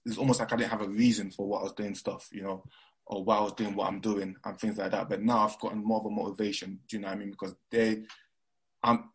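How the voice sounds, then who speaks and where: Husky low-voiced man, Nigerian-London accent. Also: poor audio. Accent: mixed London and Nigerian. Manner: rambling with frequent fillers and restarts. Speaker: guest. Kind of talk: casual interview chat.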